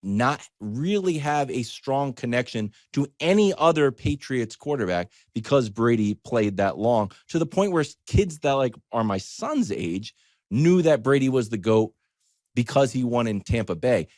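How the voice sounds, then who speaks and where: A man's voice: mid-range voice, expressive, a little slow, darkish tone, no echo, clean studio sound. A man talking casually as a sports show host, telling anecdotes and giving opinions in an emphatic, conversational storytelling manner.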